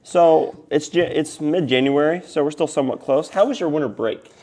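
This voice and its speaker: A man's voice: fairly high pitch, moderate pace, darkish tone. A teenage boy hosting a school video show, upbeat and playful, hyping up his guests. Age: teens. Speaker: student host of a school show. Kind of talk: casual school news chat.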